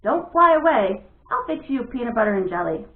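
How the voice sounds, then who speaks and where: A woman's voice: on the high side, big pitch swings, a little fast. A woman voicing a teasing, bossy older sister in a storybook, with a firm, taunting delivery. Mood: giving in. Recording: thin phone-like audio, echoey room.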